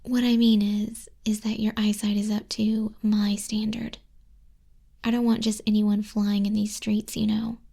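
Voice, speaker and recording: A woman playing an exacting female griffin flying instructor in a hushed, breathy, measured ASMR roleplay, close to a binaural microphone. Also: mid-range voice, completely flat delivery. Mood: exacting, composed. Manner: measured, unhurried, strict examiner tone. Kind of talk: soft-spoken, whispery ASMR roleplay.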